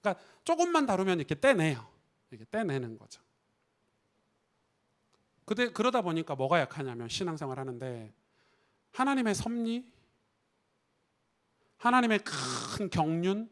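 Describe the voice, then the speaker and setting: A man's voice: high-pitched, sing-song, moderate pace, long pauses. A man preaching a Sunday sermon as a pastor in a church, talking conversationally and asking the congregation rhetorical questions. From Korea. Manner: conversational, rhetorical questions, acts out quoted voices.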